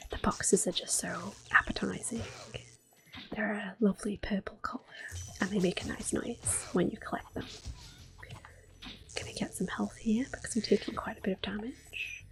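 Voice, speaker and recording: A woman speaking in a hushed, unhurried, gentle whisper as she gives ASMR game commentary. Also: medium pitch, low-quality recording. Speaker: host of an ASMR gameplay series. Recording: clicking controller noise. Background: controller clicks.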